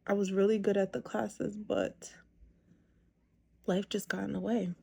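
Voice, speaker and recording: A woman's voice: mid-range voice, flat delivery, a little slow, gravelly, mellow, slightly rough. A woman in her thirties chatting casually as she does her makeup, rambling with lots of ums. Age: 30s. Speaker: makeup vlogger. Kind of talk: casual chat while doing makeup.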